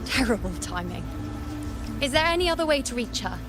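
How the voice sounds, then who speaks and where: A woman's voice: fairly high pitch, big pitch swings, fairly quick, music in the background. A woman voicing a proud, teasing young priestess in a video game, self-assured yet tender, with haughty, playful poise. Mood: vexed.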